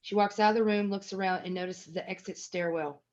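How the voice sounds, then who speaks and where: A woman's voice: medium pitch, steady tone, brisk, darkish tone. A woman narrating a screenplay at a table read, reading scene headings and stage action as scripted narration. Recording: dull, lo-fi sound.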